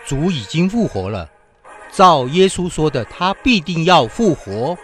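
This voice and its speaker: An actor playing an excited, welcoming village believer in a radio Bible drama, theatrical and exclamatory. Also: male voice, fairly high pitch, a little slow, soft background music. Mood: amazed, excited. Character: excited, welcoming village man proclaiming faith.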